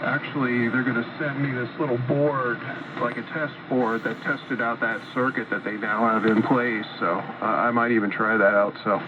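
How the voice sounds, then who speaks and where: A man chatting about his equipment as a ham radio operator, hesitant, with many fillers and some throat clearing. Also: medium pitch, steady tone, moderate pace. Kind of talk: casual radio chat. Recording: tinny radio receiver with faint static.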